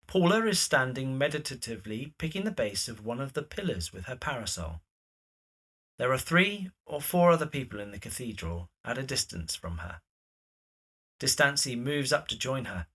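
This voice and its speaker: A man's voice: medium pitch, sing-song, moderate pace, a bit bright, breathy edge. A man reading scripted scene narration as the narrator of a period drama.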